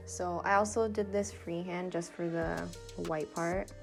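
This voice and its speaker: A woman's voice: on the low side, steady tone, a little slow, vocal fry. A young adult woman giving a casual, chatty, informal voiceover as a painter, self-deprecating and giggly in manner. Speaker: painter and vlogger presenting her own painting process. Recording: music behind the voice.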